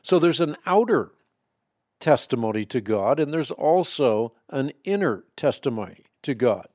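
A man whose first language is Dutch, hosting a call-in radio show and calmly explaining faith questions, often citing scripture. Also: medium pitch, dry recording, studio quality. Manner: measured, explanatory, quotes scripture. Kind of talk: Bible answer call-in show.

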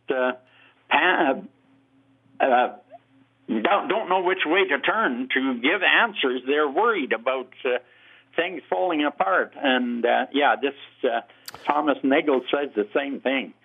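A man's voice: mid-range voice, measured pace, breathy edge. Rambling male caller on a tinny phone line. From Canada. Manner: rambling, hesitant, many fillers. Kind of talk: phone-in question to a radio show.

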